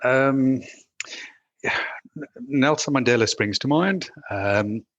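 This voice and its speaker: A man's voice: mid-range voice, slow pace, fairly bright tone, no room sound, dull audio. A man with a South African accent, a guest talking things through casually, with plenty of "you know" and restarts. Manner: thoughtful, many fillers and restarts. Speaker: guest, managing director. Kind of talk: casual conversational interview.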